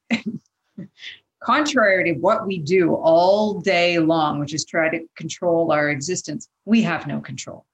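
A woman's voice: lowish voice, dull audio. A woman in a casual, reflective podcast discussion, speaking in an earnest, encouraging way. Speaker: podcast panelist.

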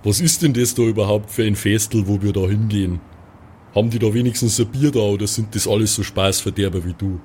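Man playing a low-voiced, folksy southern German adventurer. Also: fairly even intonation, fast, darkish tone, slight background noise, lightly acted. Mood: skeptical, grumbling. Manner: folksy, rambling, grumbling. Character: gruff, easygoing, beer-loving male veteran soldier adventurer. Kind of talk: improvised tabletop role-play.

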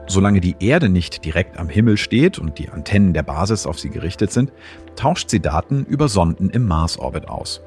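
A man's voice: low-pitched, darkish tone. A man narrating a scripted science explainer in as the presenter.

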